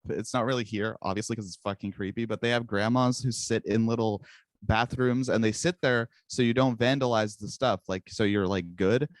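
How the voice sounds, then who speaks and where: A man's voice: fairly low pitch, steady tone, normal speed, no room sound. A young man whose first language is Czech, chatting casually as a guest musician, rambling and joking at his own expense. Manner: rambling, self-mocking, laughs often. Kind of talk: casual interview chat.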